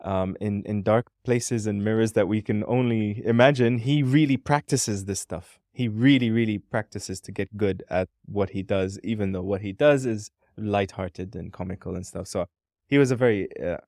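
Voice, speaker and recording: Low-voiced, musing podcast co-host. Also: male voice, moderate pace, a little mellow, dry recording, clean studio sound. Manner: thoughtful, rambling with many "um"s. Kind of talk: casual podcast conversation.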